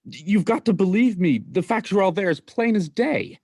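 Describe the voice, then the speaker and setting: A woman's voice: medium pitch, lively intonation, moderate pace, gravelly, a little mellow, husky, no echo, clean studio sound. A young woman acting in a radio play as an exasperated, incredulous uncle, a shady small-time salesman. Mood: accusing. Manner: incredulous, pleading. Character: exasperated, shady adult uncle, male watch peddler. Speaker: student actor in radio play.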